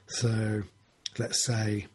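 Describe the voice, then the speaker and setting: Husky man rambling earnestly. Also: lowish voice, monotone, very slow, darkish tone. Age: 40s. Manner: rambling, self-correcting, earnest. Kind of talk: casual chat.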